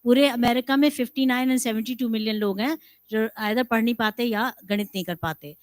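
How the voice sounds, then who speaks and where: A woman with an Indian accent moderating a conference roundtable, summing up briskly and mixing Hindi with English. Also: on the high side, no echo. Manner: brisk, rapid-fire, switches between Hindi and English.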